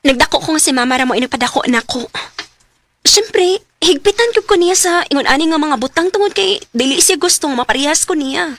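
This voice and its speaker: Casting: earnest young student daughter, radio drama, high bright voice, emotive delivery. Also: female voice, lively intonation, fairly quick. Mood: pleading. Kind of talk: Cebuano radio drama acting.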